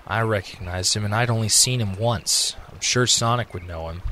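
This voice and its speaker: A man's voice: on the low side, moderate pace, bright, forceful tone. Casting: male actor as earnest echidna guardian, protective and worried, amateur radio drama, slight background noise. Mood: worried, insistent.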